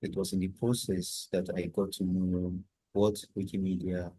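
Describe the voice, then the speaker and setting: A Nigerian man with a Nigerian accent hosting a workshop, introducing himself calmly over a video call. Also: low-pitched, normal speed, slightly rough, no echo, poor audio. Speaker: workshop host.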